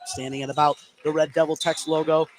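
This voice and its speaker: A man calling live basketball play-by-play from courtside, excitable and ready to raise his voice on big plays. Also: on the high side, fairly quick. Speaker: play-by-play announcer. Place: courtside in a gym. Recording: clear background noise on live broadcast.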